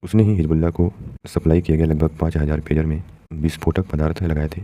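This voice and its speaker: Very deep, dark-voiced Indian man explaining. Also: level tone, fast talker. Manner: didactic, addresses listeners directly as friends. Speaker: presenter of a news explainer channel. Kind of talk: explanatory commentary, reading out an editorial.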